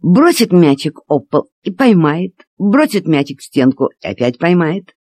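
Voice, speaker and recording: A woman narrating a children's story expressively, in a deliberate, dramatic storytelling manner. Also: fairly low pitch, very animated, darkish tone, no room sound, studio quality. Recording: cassette transfer with music behind.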